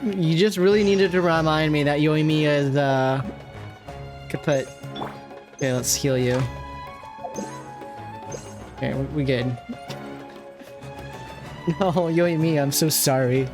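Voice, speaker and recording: A woman's voice: low-pitched, steady tone, slow pace, pauses often, music in the background. A woman streaming a game, giving chatty, offhand commentary and reactions in a casual style.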